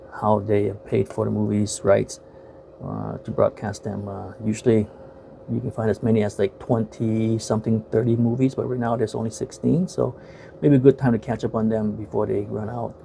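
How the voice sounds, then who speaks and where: A man in his forties, raised in the States, talking casually to the camera in a bedroom, halting with many fillers. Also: lowish voice, little pitch movement, creaky, dark tone, a little hiss or hum. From Vietnam, raised in the United States. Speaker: vlogger reviewing films. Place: bedroom at home, indoors.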